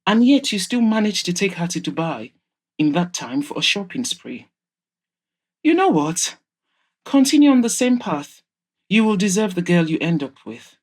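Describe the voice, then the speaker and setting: A woman's voice: on the low side, sing-song, normal speed, darkish tone. A woman voicing an exasperated, wealthy mother of a grown son in an audio drama, scolding with sarcasm and emphasis. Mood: scolding, indignant.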